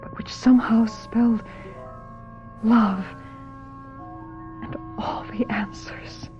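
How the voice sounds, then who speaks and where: An actress playing a haunted, pill-dependent film star in a radio drama, theatrical, measured and emotionally raw. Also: female voice, fairly high pitch, fairly even intonation, a little mellow, faint music behind. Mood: wistful confession. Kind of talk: radio drama acting, confessional narration and dialogue. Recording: dull lo-fi radio drama recording.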